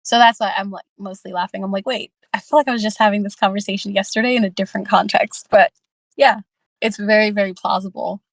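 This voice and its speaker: Woman thinking aloud, fairly even tone. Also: mid-range voice, quick pace, dry recording. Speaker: book club discussion participant and facilitator. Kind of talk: casual reflective group discussion.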